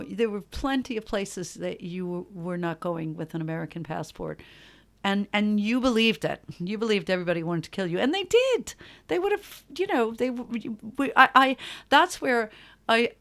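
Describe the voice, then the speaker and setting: A middle-aged woman speaks as a guest in a relaxed interview. She tells stories in an animated way, swearing freely, breaking off and restarting her sentences, and laughing as she goes. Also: mid-range voice. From New York. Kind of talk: casual interview chat.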